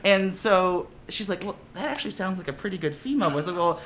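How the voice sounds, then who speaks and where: A man talking casually in an interview as a tabletop player, telling lively stories with frequent laughs and restarts. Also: high voice, fast talker, slight background noise. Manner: animated, laughing storyteller with many restarts.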